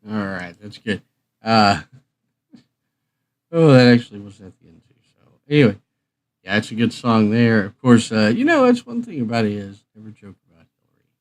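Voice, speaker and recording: A man's voice: on the low side, very animated, moderate pace, pauses often. A man hosting a livestream, chatting casually in an informal, joking way. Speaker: livestream host. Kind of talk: casual rambling chat.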